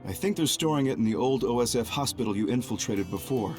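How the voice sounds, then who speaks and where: A man playing a composed, calculating young double agent in a video game, speaking in a measured, formal, grave way. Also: medium pitch, expressive, a little mellow, soft background music, close to an everyday voice. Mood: calm, businesslike. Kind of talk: anime-style video game cutscene acting.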